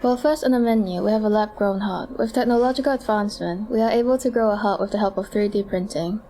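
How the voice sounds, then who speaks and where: A woman's voice: mid-range voice, fast talker, dark tone, slight background noise, natural voice. A woman playing a polite clinic doctor who explains treatment options in a scripted amateur audio drama. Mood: polite, explanatory.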